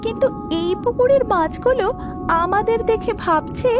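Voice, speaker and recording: A woman's voice: extremely high pitch, lively intonation, moderate pace, over music. A woman voicing a timid, earnest young fairy girl disguised as a mermaid in a children's cartoon. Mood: excited, cautious.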